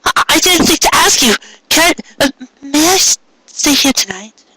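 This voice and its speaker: Storybook role: man playing a shy young pony mare, raised bright pitch, sweet, hesitant, stammering. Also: lively intonation, normal speed, full character voice, low-quality recording. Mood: timid, pleading.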